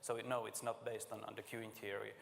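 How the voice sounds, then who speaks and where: A lower-voiced man from Finland speaks with a gravelly rasp, presenting at a conference in a plain, informal way full of small pauses. His voice carries a long reverb from a large hall, with faint room ambience underneath. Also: little pitch movement, slight background noise. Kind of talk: conference talk and Q&A.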